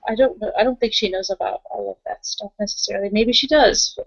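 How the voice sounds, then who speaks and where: A woman's voice: medium pitch, quick pace, vocal fry, husky. A woman roleplaying and narrating in a tabletop session, speaking casually and bluntly. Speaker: game master in a roleplaying session. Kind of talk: improvised in-character roleplay with narration. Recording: dull lo-fi recording.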